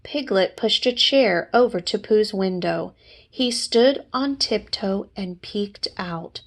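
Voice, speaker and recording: A woman reading a children's storybook aloud as a teacher, with expressive narration. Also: mid-range voice, slow, vocal fry.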